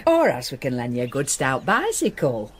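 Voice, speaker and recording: A woman's voice: rumbling low, wildly swooping pitch, moderate pace, a little hiss or hum, heavily acted. A woman playing a kindly, deferential, slightly uneasy landlady with a Northern English accent in a radio drama. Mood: reassuring. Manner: deferential, homely, solicitous.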